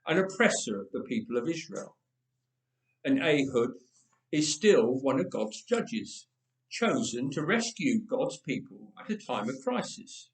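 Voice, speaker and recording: A man reading a story aloud in a dramatic storytelling style, with deliberate, expressive phrasing and emphatic repetition. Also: medium pitch. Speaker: story reader. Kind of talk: dramatic read-aloud storytelling of a Bible tale. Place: inside a church. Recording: roomy, echoing church acoustic.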